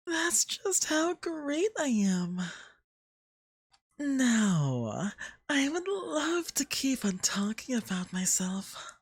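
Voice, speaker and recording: Someone doing a smug femboy god for asmr, high and swoopy, super full of himself, flirty and possessive, breathy. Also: big pitch swings, dry recording, clean studio sound, heavily acted. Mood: smug, boastful.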